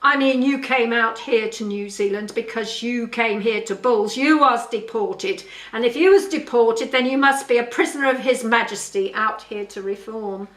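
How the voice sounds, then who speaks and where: A woman's voice: fairly high pitch, normal speed, few pauses, natural voice. Lady reading as a mean farmer guy in his late 30s, barking and sneering, storybook, echoey room. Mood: snapping. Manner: curt, barking, derisive. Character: tall, surly, sneering farm boss in his late thirties.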